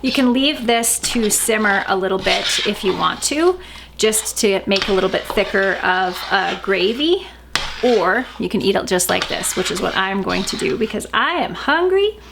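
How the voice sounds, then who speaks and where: A woman chatting casually as she cooks in her home kitchen for a vlog, relaxed, with a sore throat from talking all day. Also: medium pitch, moderate pace, gravelly, background noise. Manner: chatty, relaxed, with filler ums. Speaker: vlogger cooking at home. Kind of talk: casual cooking vlog chat.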